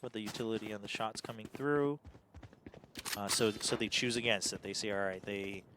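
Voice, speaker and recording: A man's voice: fairly low pitch, brisk, background noise. A man giving chatty, analytical live commentary on an esports match, laughing often. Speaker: esports caster. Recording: commentary over loud game music.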